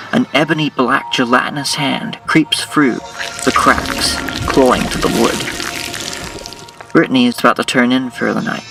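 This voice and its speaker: A man's voice: medium pitch, gravelly. A man narrating a scripted audio drama. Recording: noisy, distant-miked, with clicks.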